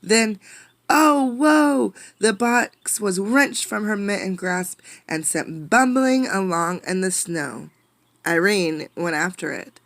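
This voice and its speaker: A woman reading a storybook aloud as a teacher, expressively, pausing to ask listeners questions. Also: mid-range voice, fairly bright tone, no echo. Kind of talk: interactive storybook read-aloud.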